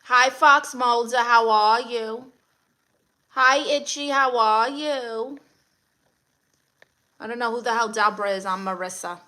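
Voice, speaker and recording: Bright-voiced Brooklyn woman chatting on a livestream. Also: on the high side, slow, pauses often. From Brooklyn, New York, USA. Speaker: livestream host. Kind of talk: casual livestream chat, greeting viewers and reading comments.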